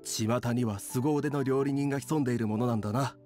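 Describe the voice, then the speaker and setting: Gruff but gracious male cook acted by a man, middle pitch, hearty anime game delivery. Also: brisk, mellow, soft background music, close to an everyday voice. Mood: impressed. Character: good-natured adult male inn cook, gruff, gracious rival. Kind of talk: Japanese anime game voice acting.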